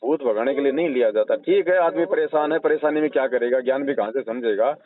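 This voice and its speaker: A man's voice: medium pitch, quick pace. A man giving informal religious counsel over the phone, explaining and admonishing, often checking with short questioning tags. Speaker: spiritual adviser taking a recorded call. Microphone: telephone. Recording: tinny phone call recording.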